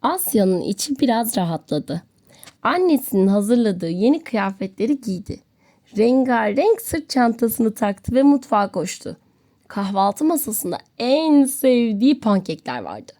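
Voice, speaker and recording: A woman narrating a children's story in an expressive, scripted storytelling style. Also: a little high, measured pace.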